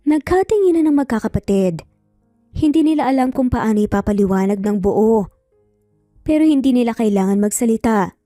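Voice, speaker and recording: A woman narrates a scripted dramatic story in a fairly high, mellow voice. The recording is dry and close, with faint music underneath. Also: lively intonation, brisk, no room sound.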